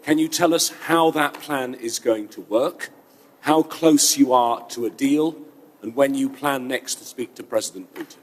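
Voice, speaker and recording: A male reporter puts a direct, composed question to a president. His voice is somewhat husky, and a long hall reverb trails after his words. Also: medium pitch, a little slow. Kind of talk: press conference question. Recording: echoey hall.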